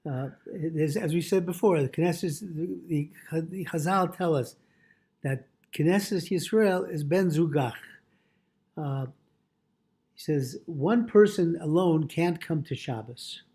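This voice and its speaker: A man with a husky voice teaching a religious lesson as a rabbi, haltingly reading from a text, translating and repeating phrases as he explains. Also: a little high, mellow.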